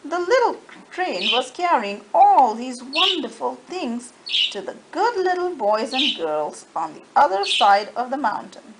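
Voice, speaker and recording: A woman reading a storybook aloud to children with expressive narration. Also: high-pitched, a little slow. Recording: faint background noise.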